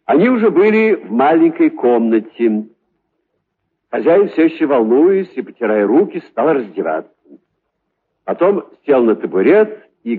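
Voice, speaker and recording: A man narrating a children's story in an expressive, scripted storytelling style. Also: mid-range voice, breathy edge, phone-quality sound.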